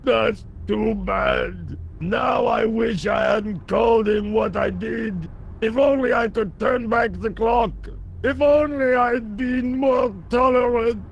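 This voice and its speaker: Game worker: blustering, scornful labourer, high creaky voice, music in the background. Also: male voice, takes it slowly, shouting, muffled recording, a little hiss or hum, heavily acted. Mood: hammy regret. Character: cocky, gruff, work-shy Parisian workman. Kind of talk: video game dialogue acting.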